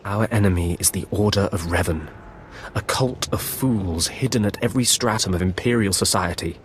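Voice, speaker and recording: Game villain's apprentice, male actor, very low, formal and persuasive, serving a shadowy master, faint noise behind. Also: moderate pace, close to an everyday voice. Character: scheming Sith apprentice, deferential servant of shadowy master. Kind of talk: video game cutscene acting.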